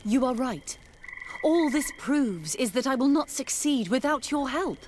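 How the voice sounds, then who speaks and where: An actress playing an earnest, fervent scholarly nun in a fantasy video game. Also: female voice, high voice, lively intonation, a little slow, a little hiss or hum. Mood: grave. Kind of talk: scripted fantasy game dialogue. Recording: game music behind the voice. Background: game music.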